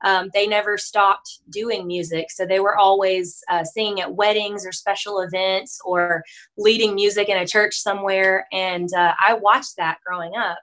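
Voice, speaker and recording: A woman's voice: mid-range voice, fairly even intonation, normal speed, a bit bright, low-quality recording. A young woman who is a country singer, talking with a podcast host in a friendly, chatty way with frequent fillers, from a room at home over a video call. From Texas. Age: young adult. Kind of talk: casual interview chat. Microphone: video call, webcam.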